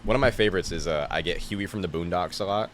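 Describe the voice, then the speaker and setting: A man's voice: low-pitched, fast, a bit bright, a little hiss or hum. A young man with a New Jersey accent, a stand-up comedian chatting casually on a podcast, laid-back and joking with plenty of swearing.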